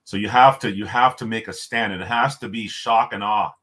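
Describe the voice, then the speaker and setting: A man from Ontario with a loud voice, telling stories as a podcast guest in an animated, emphatic way. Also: on the low side, a little fast. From Canada, Ontario. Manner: animated storyteller, emphatic, frequent restarts. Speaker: podcast guest, former hockey player. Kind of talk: casual storytelling interview.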